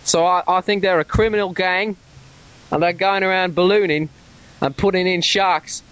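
A man's voice: high voice, steady tone, normal speed, a bit bright, clear, resonant tone. A man with an Australian accent, rambling and stammering through a prank phone call, swearing when he gets worked up. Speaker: prank caller. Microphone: telephone.

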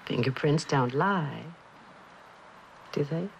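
An actress playing a composed middle-aged mother searching for her lost daughter, measured and questioning, in a vintage television suspense drama. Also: low-pitched, lively intonation, creaky, exaggerated voice. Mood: careful, searching. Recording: noisy vintage TV soundtrack.

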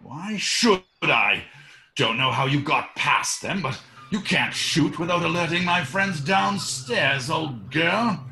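A man's voice: fairly high pitch, lively intonation, slow, pushed and bright, faint music behind, heavily acted. A man in his sixties playing a sneering, cowardly kidnapper in a radio mystery play. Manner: stammering when scared, drawling taunts. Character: cowardly sneering kidnapper, oily bully turned sniveling.

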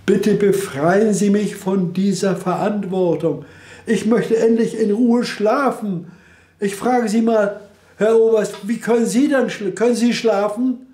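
Old guy doing a desperate soldier begging for sleep, voice climbing high, roomy room. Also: a little slow, dark tone. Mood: pleading, accusing. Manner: pleading, accusing. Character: haunted young male returning soldier, sleepless.

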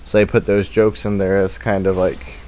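A man's voice: low voice, level tone, normal speed, mellow. A man giving casual, rambling gameplay commentary as a let's play host, with a self-deprecating manner.

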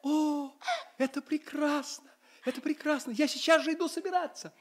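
An actress playing a tiny, sensitive, polite male ship's doctor in a radio play, expressive and plaintive. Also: sits high, normal speed, close to an everyday voice. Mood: excited. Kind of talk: Russian radio play acting.

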